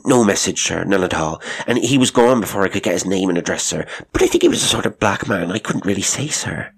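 A male reader acts a servile woman cook in an audiobook tale. She is nervous and deferential, fumbling along in a husky, crackling voice. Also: sits low, huge pitch swings, fast talker, exaggerated voice. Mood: frightened, pleading. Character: nervous, servile female cook, flustered before her master. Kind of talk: audiobook character voice.

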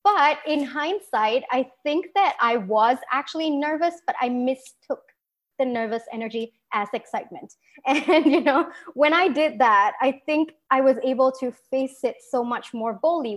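A young woman from Singapore, a guest entrepreneur in a conversational interview, talking in a lively way with frequent laughs and restarts. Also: sits high.

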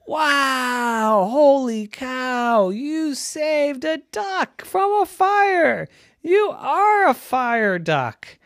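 A woman's voice: mid-range voice, big pitch swings, normal speed, creaky, no echo, studio quality, voice pushed up. A woman voicing practical, loving duck parents in a children's story, exclaiming with incredulity. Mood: amazed.

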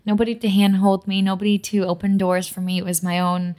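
A woman in her thirties from Massachusetts, with Polish as her first language, guesting on a podcast and telling stories in an animated, candid way. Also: mid-range voice, a little fast. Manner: animated storyteller, candid and warm-hearted. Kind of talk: casual podcast chat.

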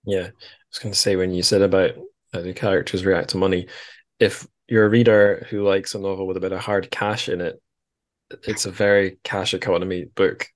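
A man's voice: low voice, flat delivery, brisk. A man hosting a podcast in a casual book discussion, chatty and wry, rambling as he goes. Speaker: podcast host.

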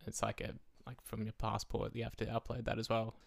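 Relaxed young man, gravelly. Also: lowish voice, brisk, no echo, studio quality. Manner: relaxed, practical explainer. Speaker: guest. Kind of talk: casual travel chat.

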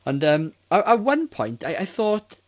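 A man's voice: sits high, huge pitch swings, normal speed. A man in his forties giving blunt, casual opinions on a film as a podcast co-host. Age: 40s. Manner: blunt, mildly sweary.

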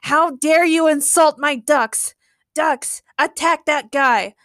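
A woman's voice: high-pitched, animated, normal speed, fairly bright tone, dry recording, clean studio sound, voice pushed up. A woman storyteller voicing a boastful, dramatic male villain obsessed with his own power. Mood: indignant. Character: melodramatic, boastful male duck-commanding villain.

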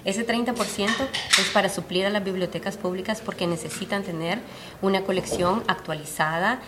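A woman's voice: medium pitch, steady tone, a little fast, heavy background noise. A woman from Honduras, a publisher, explaining her work earnestly and steadily in an interview at a book fair. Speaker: publisher, guest. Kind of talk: conversational interview. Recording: busy fair crowd behind. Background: crowd chatter.

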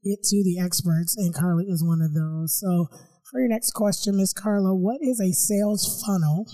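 A woman hosts a podcast interview, her voice raspy and hoarse from being sick. Her tone sits mellow and dark, and she talks with plenty of fillers and an apologetic air. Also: lowish voice, moderate pace, low-quality recording. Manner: apologetic, warm, gives frequent fillers. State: hoarse after illness. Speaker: podcast host.

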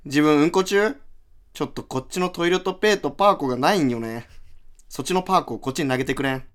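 Man acting brash Hiroshima-dialect comedian, higher voice. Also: fast talker, close to an everyday voice. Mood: pleading. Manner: brash, chummy dialect banter. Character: pushy, shameless, over-friendly male aspiring comedian in toilet stall. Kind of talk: radio drama acting.

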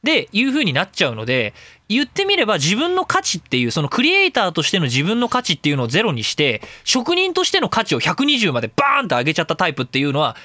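A man's voice: high voice, wildly swooping pitch, fast talker, few pauses, pushed and bright, no room sound. A man hosting a casual radio talk show, rambling between serious points and crude, jokey rants.

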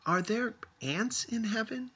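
Eager young granddaughter for a storybook, played by a man. Lifted pitch, bright, excitable short bursts. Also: unhurried, slightly rough, exaggerated voice. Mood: curious. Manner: loud, exclaiming, short bursts.

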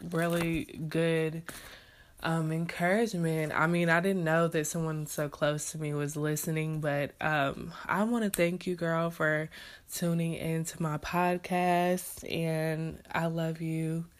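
Female podcast host, low and unhurried, congested, rambling casual delivery. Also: steady tone. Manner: rambling, many fillers and restarts. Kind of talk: casual solo podcast reflection.

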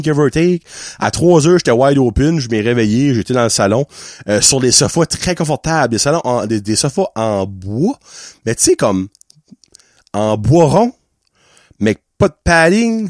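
A man with a Québécois French accent tells a story as a podcast host, his pitch swooping up and down. He talks casually, laughs often and mixes English words into his French. The sound is close and dry. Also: darkish tone, no echo.

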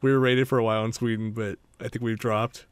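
A man co-hosting a film podcast in casual chat, chatty and quick to laugh at himself. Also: mid-range voice, level tone. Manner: chatty, laughs often, self-deprecating. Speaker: podcast co-host reviewing films.

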